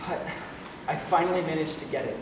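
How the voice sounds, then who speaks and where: A man's voice: on the high side, fairly quick, natural voice. A man playing a despairing but wry lonely young man in a confessional stage monologue. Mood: wry, confiding. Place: stage play recorded in a hall. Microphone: distant camera or room microphone. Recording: echoey hall from far off, noisy.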